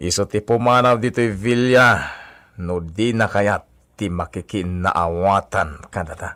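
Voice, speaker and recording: An actor playing a scheming, swaggering brother in a melodramatic Philippine radio drama, with a taunting, exaggerated delivery. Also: male voice, on the low side, slow, a bit bright. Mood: scheming, demanding. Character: theatrical, scheming twin brother with a swaggering temper.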